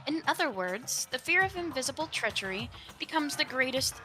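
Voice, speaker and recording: A composed intellectual student, read by a woman with a bright, forward tone. The delivery is deliberate and explanatory, with some lively movement in pitch. Faint music sits behind it. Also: exaggerated voice. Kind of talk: reading visual novel dialogue in character.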